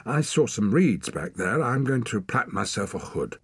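A man's voice: lowish voice, sing-song, normal speed, slightly rough, pitched above the performer's own voice. A man voicing a plucky, practical young girl who speaks up firmly in an audiobook. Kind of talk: audiobook character acting.

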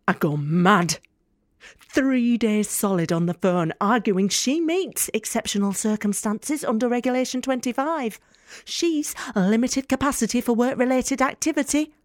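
A woman's voice: medium pitch, expressive, measured pace, dry recording, clean studio sound, lightly acted. A woman acting a bitter young working-class carer in an audio drama monologue, wry, blunt and sarcastic. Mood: indignant, frustrated. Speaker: actor in an audio play.

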